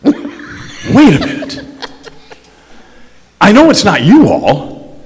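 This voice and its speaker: A man playing a confident, wry detective in an old-time radio drama on stage, speaking deliberately and emphatically. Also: high voice, wildly swooping pitch, full character voice. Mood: dawning amazement. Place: stage in a large reverberant hall. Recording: echoey hall stage recording with music.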